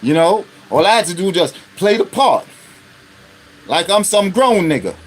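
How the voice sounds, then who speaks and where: A man's voice: high voice, big pitch swings, normal speed. A man in his fifties hosting an unscripted live stream, talking bluntly and profanely and often checking in with his listeners. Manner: blunt and profane, with constant rhetorical check-ins.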